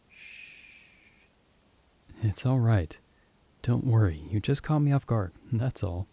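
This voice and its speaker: A man acting as a caring young friend in a comfort roleplay. The voice is on the low side and soft-toned, mellow and close in a dry space. He talks gently and reassuringly, with thoughtful hesitations. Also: no echo. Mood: gentle, concerned. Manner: gentle, soothing, hesitant pauses and soft chuckles. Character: caring, reassuring young male friend stranded in another world. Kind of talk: ASMR comfort roleplay, intimate acted monologue.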